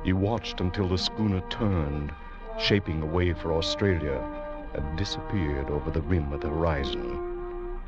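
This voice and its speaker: A man narrating a scripted old radio adventure drama in a measured, dramatic manner. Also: extremely low pitch, wildly swooping pitch, full character voice. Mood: solemn, wry. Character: solemn storyteller of a sea adventure tale. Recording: muffled old radio broadcast. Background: loud dramatic music.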